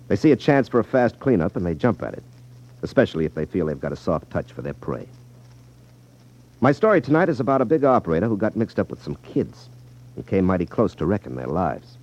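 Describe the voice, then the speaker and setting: A dull 1950s radio recording of a hard-boiled male detective telling his case. The voice is deep, with wide, emphatic swings and a wry, knowing edge. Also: a little mellow, full character voice.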